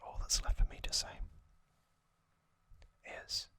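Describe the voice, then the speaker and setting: A man narrating in a breathy ASMR whisper, gently and in short phrases with long pauses. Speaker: ASMR video host.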